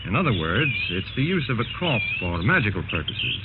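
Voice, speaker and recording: Through a muffled, noisy old radio recording comes a worldly senior investigator. The middle-pitched voice is animated, knowing and skeptical, instructing in classic radio drama fashion. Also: male voice, fairly quick. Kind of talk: vintage radio drama acting.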